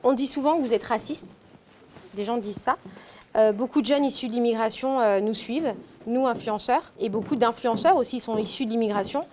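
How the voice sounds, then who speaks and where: A woman's voice: fairly high pitch, a little fast, a little mellow, a little hiss or hum. Woman host asking teasing questions. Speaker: interviewer, influencer host. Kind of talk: casual interview.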